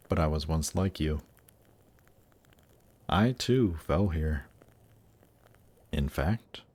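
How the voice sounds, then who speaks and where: A man playing a suave demon prince in an intimate audio roleplay, speaking in a slow, seductive, gently coaxing way. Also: a bass voice. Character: suave demon prince of Hell, tempting and reassuring. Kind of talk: intimate audio roleplay, scripted monologue to listener.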